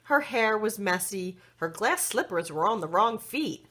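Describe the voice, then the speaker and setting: A woman reading a children's story aloud expressively, with dramatic, deliberate phrasing for young listeners. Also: medium pitch, lively intonation, a bit bright, husky.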